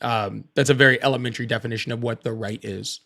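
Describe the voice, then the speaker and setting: A man's voice: medium pitch, steady tone, fast, creaky, fairly bright tone. A man in his late thirties hosting a live political stream, firmly correcting his guest and citing data. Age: 30s. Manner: firm, corrective, cites data. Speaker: host.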